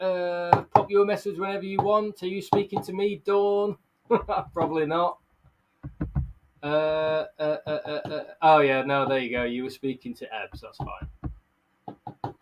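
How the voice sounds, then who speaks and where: A man's voice: fairly high pitch, a little slow, noisy background. A man in his thirties with a blocked-up, nasal voice from illness, rambling casually to livestream viewers and laughing often. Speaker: live streamer and bug keeper. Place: bug room, indoors.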